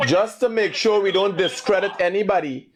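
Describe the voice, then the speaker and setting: A Guyanese man in his forties hosting a call-in talk show, slow and reflective alone, loud and rapid when arguing. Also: high-pitched, crowd noise. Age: 40s. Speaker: radio call-in host.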